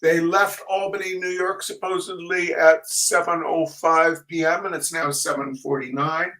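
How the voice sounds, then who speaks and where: A man's voice: on the high side, steady tone, normal speed, pushed and bright, distant mic. An American man hosting casually, rambling with folksy showman's asides and repeated phrases. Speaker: host of a fundraising reading show. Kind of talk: casual rambling chat to an audience. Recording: voice some way from the mic.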